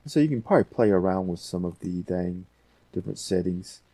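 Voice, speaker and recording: A man hosting a casual software tutorial, thinking aloud in an unscripted way. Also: low-pitched, lively intonation, fairly quick, dry recording. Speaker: tutorial host.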